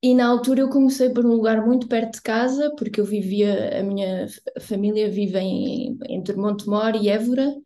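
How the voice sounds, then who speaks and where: A middle-aged woman, a photographer answering interview questions thoughtfully, often pausing to search for words. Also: fairly high pitch, fairly even intonation, warm tone. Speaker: guest photographer.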